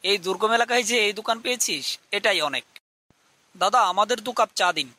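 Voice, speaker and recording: A man's voice: sits high, animated, fairly quick, sharp tone. A man voicing an eager young ghost-hunting TV reporter in a scripted cartoon. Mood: breezy, teasing. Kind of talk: scripted cartoon dialogue and show presenting.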